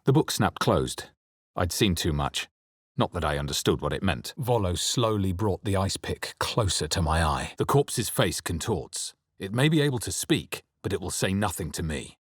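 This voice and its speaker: A man playing a brooding, wary fantasy adventurer in a video game, with a husky voice and hushed, deliberate, dramatic delivery. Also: low voice, no room sound, clean studio sound, full character voice. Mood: dark, tense. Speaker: video game player-character voice actor. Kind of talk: dramatic scripted game narration and reaction lines.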